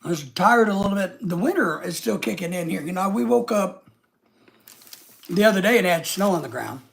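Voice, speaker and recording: A man's voice: sits high, animated, brisk, gravelly, slight background noise. A man hosting a casual live stream with a head cold, rambling and reading out viewer comments.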